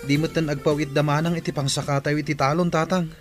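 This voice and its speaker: A man's voice: a little high, flat delivery, quick pace, music in the background, natural voice. A man playing the concerned grown son of a farming family in an Ilocano radio drama. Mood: concerned, questioning.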